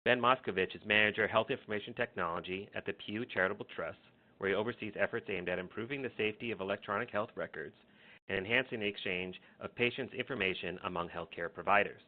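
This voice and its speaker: Guy reading webinar intro lines, lowish voice, sounds like a phone line. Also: fast. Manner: reads announcements in a steady formal tone. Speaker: webinar moderator. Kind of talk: scripted introduction. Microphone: online webinar connection.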